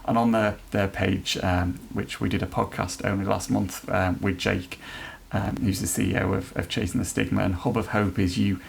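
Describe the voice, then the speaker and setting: A man who leads an NHS trust's inclusion work, answering podcast questions in an earnest, halting way with many restarts. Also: low voice, little pitch movement, gravelly, a little hiss or hum. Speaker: NHS trust leader chairing an inclusion council.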